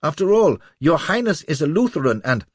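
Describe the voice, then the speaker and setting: A man voicing a timid little male court official, shrill and pleading, close to tears. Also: very animated, brisk, no echo. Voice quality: shrill, rising to a squeal. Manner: stammering, cowering, near tears.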